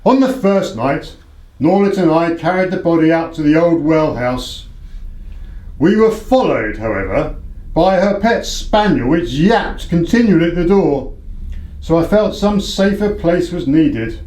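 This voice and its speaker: A man's voice: a little high, animated, unhurried, darkish tone, echoey room, low-quality recording. A man acting in a radio play as a proud, desperate baronet, formal, defensive and imperious. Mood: solemn, defensive. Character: proud, desperate English baronet, indebted horse owner.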